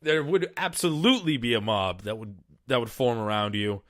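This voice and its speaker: A man's voice: mid-range voice, normal speed, a bit bright, no room sound, clean studio sound. A man hosting a solo podcast, reading aloud and then riffing in a casual, profane, rambling way. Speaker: podcast host. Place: small studio.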